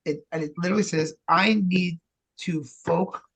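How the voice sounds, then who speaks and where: A man's voice: high-pitched, moderate pace, a bit bright, husky. A man speaking casually as an interview guest, in a conversational, joking way. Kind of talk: casual chat.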